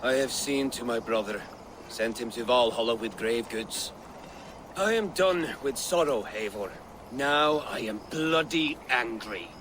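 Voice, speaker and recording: A man's voice: mid-range voice, lively intonation, a little slow, close to an everyday voice. Man acting a grim, vengeful Norse warrior. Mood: grim anger. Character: grieving, vengeful male Norse warrior, bitterly angry. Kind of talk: video game voice acting. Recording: noisy outdoor ambience behind.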